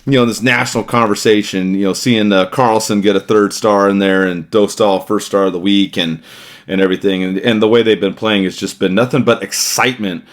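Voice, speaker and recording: A man's voice: lowish voice, steady tone, a little fast. A man hosting a sports podcast, chatting casually and rambling with restarts and fillers. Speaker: podcast host.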